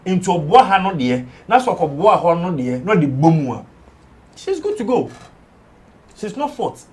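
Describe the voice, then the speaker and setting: A man's voice: sits high, big pitch swings, normal speed. A man with a Ghanaian accent giving his views on a studio entertainment show, switching between English and Twi. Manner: mixes English with Twi, emphatic. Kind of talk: casual studio discussion.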